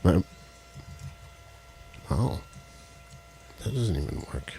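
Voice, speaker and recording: A man with a deep voice, co-hosting a casual live stream and joking as he fiddles with his tech. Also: sing-song, extremely slow, creaky, noisy background. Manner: rambling, jokey, fiddles with tech while talking. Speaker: co-host of a live stream show. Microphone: headset with headphones.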